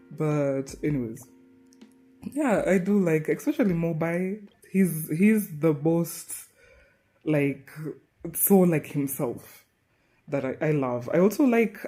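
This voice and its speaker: A woman with a Kenyan accent, a gaming YouTuber giving a casual, rambling voiceover with soft laughs and hesitations. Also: low-pitched. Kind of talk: casual gameplay voiceover commentary.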